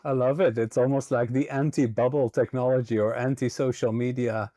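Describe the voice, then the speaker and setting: A man's voice: medium pitch, fairly even intonation, fairly quick, dark tone, dry recording, studio quality. A man hosting a podcast interview, asking friendly follow-up questions and affirming his guest's answers. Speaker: podcast host.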